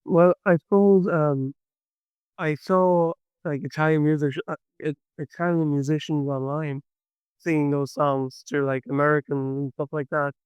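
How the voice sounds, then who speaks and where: A man's voice: on the high side, fairly even intonation, measured pace, darkish tone, smooth, dry recording, rough recording. An Irish man, a young adult folk singer, chatting enthusiastically in an interview and telling stories. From Ireland. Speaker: folk singer-songwriter guest. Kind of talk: casual interview chat.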